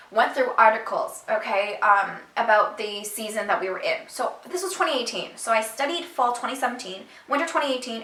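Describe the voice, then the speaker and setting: A young woman telling her own story to her viewers in a casual, animated and emphatic way. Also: on the high side, reverberant, recorded from a distance, rough recording. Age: young adult. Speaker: vlogger.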